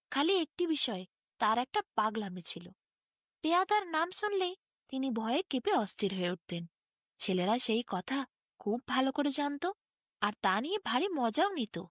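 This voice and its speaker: High-pitched, animated woman storyteller. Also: no echo, clean studio sound. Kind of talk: expressive Bengali bedtime story narration.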